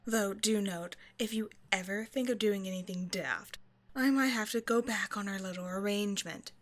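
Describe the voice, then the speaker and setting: A woman's voice: medium pitch, moderate pace, natural voice. A woman voicing a haughty vampire scientist in an audio roleplay, with a playful, condescending, mock-sweet delivery. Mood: playful, teasing. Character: female vampire scientist, teasing, haughty captor. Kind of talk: scripted ASMR roleplay monologue addressed to the listener.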